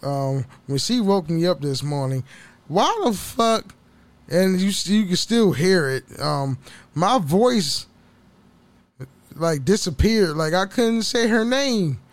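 A man hosting a casual solo podcast, rambling and swearing with frequent laughs, his voice a little hoarse. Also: high-pitched, lively intonation, slow, dry recording. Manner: rambling, profane, laughs often. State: slightly hoarse from waking up. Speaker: podcast host.

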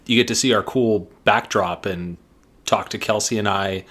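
A woman's voice: a bass voice, a bit bright. A woman in her thirties co-hosting a podcast, chatting playfully and sharing one microphone with her co-host. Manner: playful, quick banter. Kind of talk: casual chat.

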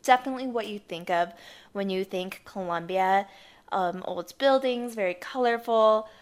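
A woman in her twenties co-hosting a podcast, a bit hoarse from losing her voice, telling stories in a chatty way and laughing often. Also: medium pitch, normal speed. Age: 20s. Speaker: podcast co-host. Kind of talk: casual chat.

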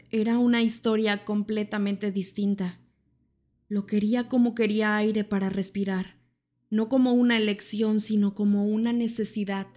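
A woman narrates an audiobook in the first person, reading in a measured, even manner. Her delivery stays flat, with little rise and fall, and her pitch sits in a middle range. Soft music plays faintly underneath.

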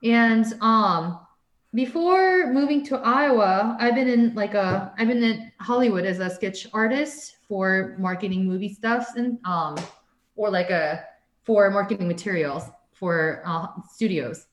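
A woman's voice: mid-range voice, measured pace. Young woman, artist guest, rambling chat full of fillers, echoey room. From United States. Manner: rambling, lots of "like" and "um" fillers, laughs often. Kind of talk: casual interview chat.

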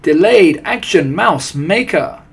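Fawning, excitable storybook witches, as a man puts them on. The voice is pitched well up, with a husky edge, exclaiming eagerly and adoringly. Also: slow pace, heavily acted. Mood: awed, adoring. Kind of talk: storybook character voice.

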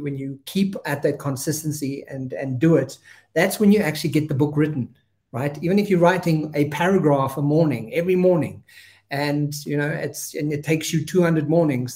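A male interview host with a fairly high voice. He rambles enthusiastically, filling his talk with "you know" and restarts. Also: darkish tone. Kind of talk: conversational interview.

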